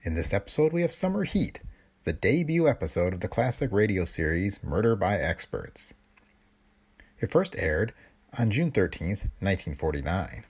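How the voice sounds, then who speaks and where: A man introducing an old radio show on a podcast in a deliberate announcing tone. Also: low voice, very animated, darkish tone, slightly rough. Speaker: podcast host. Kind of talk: scripted introduction.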